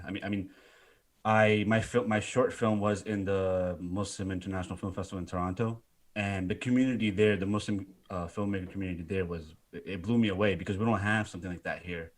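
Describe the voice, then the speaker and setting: A man with a British accent, a filmmaker co-hosting a podcast, speaking earnestly and casually with fillers. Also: low voice. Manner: joking, then earnest and quieter. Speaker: filmmaker co-host.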